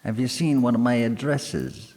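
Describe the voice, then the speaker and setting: A man's voice: mid-range voice, big pitch swings, moderate pace, darkish tone, pitched above the performer's own voice, lightly acted. A man voicing a proud, boastful politician in his forties, holding forth in a pub. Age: 40s. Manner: pompous, boastful, self-satisfied. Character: proud middle-aged male politician, barrister. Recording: long hall reverb.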